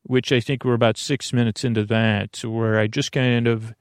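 A middle-aged man with a creaky, gravelly voice hosting a bedtime podcast in a meandering, halting monologue that keeps interrupting itself. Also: medium pitch, level tone, no room sound, studio quality. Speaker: podcast host.

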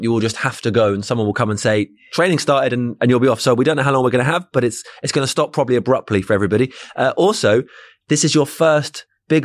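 A man's voice: medium pitch, no room sound. A man co-hosting a podcast in casual, joking chat, recorded in a room at a training ground beside a gym. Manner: chatty, joking, self-deprecating. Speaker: podcast co-host.

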